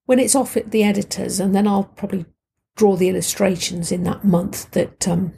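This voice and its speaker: A middle-aged woman with a husky, slightly croaky voice, hosting a podcast in a chatty, informal, rambling way. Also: mid-range voice, steady tone, moderate pace, mellow. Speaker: podcast host. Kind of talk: casual conversational podcast hosting.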